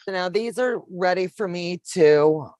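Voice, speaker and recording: A woman in her fifties hosting a sewing livestream, chatting warmly with viewers and explaining each step as she works. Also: on the low side, measured pace, no echo. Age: 50s. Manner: homey, calls viewers baby, narrates each step. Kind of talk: casual sewing demonstration chat.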